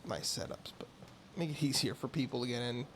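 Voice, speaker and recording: A man streaming a game with casual, rambling commentary, hoarse, raspy and a bit mumbly from being sick. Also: medium pitch, animated, fairly quick, noisy background. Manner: rambling, chatty asides.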